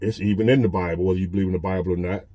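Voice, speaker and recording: A man's voice: deep voice, fairly even intonation, rapid-fire, mellow, no echo. A man, talking earnestly and repetitively to his phone camera from a parked truck, like a casual motivational talk. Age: 50s. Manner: earnest, repetitive, conversational preaching. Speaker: vlogger.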